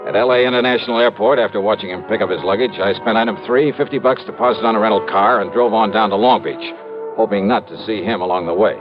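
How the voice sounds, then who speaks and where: A man's voice: on the low side, fast talker, close to an everyday voice. An American man playing a confident, hard-boiled insurance investigator in a vintage radio drama, wry, clipped and casual. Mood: dry, matter-of-fact. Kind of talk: 1950s-60s radio detective drama, first-person narration. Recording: dull vintage radio recording, music behind.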